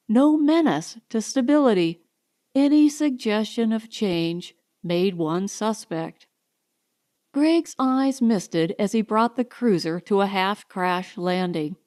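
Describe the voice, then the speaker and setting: A woman narrating a science fiction audiobook in a measured, deliberate, dramatic way. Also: on the high side, dark tone.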